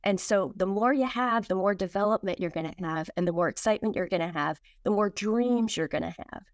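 A woman speaking reflectively as an interview guest, earnest and expressive, with emphasis on key words. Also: medium pitch, a little fast, no echo, clean studio sound. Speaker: guest, children's book author.

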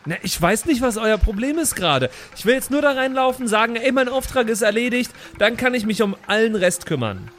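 Man playing high-pitched petulant superhero. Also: big pitch swings, brisk, hardly any pauses, a bit bright, slight background noise, full character voice. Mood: defensive, petulant. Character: petulant, self-important male superhero, defensive.

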